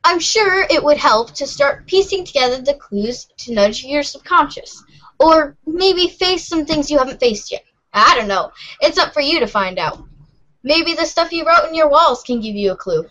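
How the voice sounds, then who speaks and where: A woman's voice: high voice, expressive, moderate pace, a bit bright, low-quality recording. A woman voicing an earnest, cryptic, friendly teenage girl who explains things casually in an amateur readers' theater.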